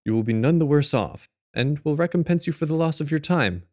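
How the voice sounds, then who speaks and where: An actor playing an earnest, courteous gentleman, a detective's loyal friend, in an audio drama. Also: fairly high pitch, a little fast, lightly acted. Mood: firm, placating.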